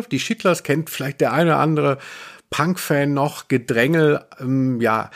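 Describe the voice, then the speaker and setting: Casual male podcast co-host, chatty and jokey. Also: a little high. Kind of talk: casual pop-culture podcast chat.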